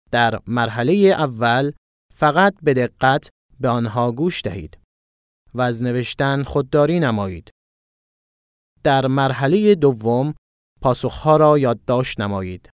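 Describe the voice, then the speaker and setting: A man from Iran reading formal exam instructions in a brisk, even recitation. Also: medium pitch, clear, resonant tone, dry recording, studio quality.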